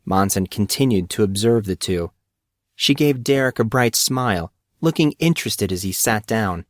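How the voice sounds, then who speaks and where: A man's voice: lowish voice, animated, normal speed, no echo, clean studio sound. A man reading a story aloud as scripted audiobook narration.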